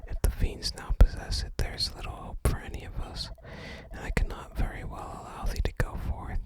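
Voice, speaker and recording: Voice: fairly quick, close to an everyday voice. A person whispering the part of a gloomy, reclusive shrine sage from a fantasy game, in solemn, formal, archaic phrasing. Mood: solemn, resigned.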